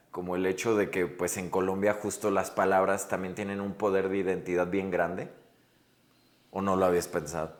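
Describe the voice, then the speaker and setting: A Mexican man hosting a podcast, chatting in a reflective, rambling way and often checking in with his guest. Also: low voice. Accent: Mexican Spanish. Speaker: podcast host. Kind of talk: casual interview chat. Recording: roomy echo.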